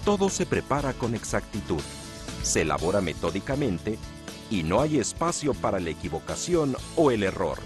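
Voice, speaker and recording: A middle-aged man with a Mexican accent, a television news presenter hosting and narrating a travel documentary in a measured, polished broadcast manner. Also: lowish voice, sing-song. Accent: Mexican Spanish. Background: music.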